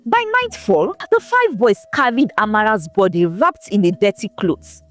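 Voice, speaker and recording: A woman narrating a folktale in a scripted, expressive, dramatic storytelling manner. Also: medium pitch, moderate pace, faint music behind.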